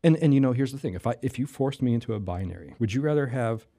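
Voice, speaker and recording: A man's voice: mid-range voice, expressive, fast talker, mellow. A man, a podcast host, chatting as a guest in a casual interview and often asking "you know what I mean.".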